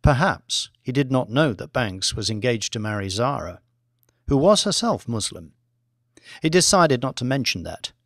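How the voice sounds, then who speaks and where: Guy reading a thriller audiobook, measured and dramatic, close mic with no room sound. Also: medium pitch, sing-song, no echo. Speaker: audiobook narrator. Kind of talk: scripted thriller narration.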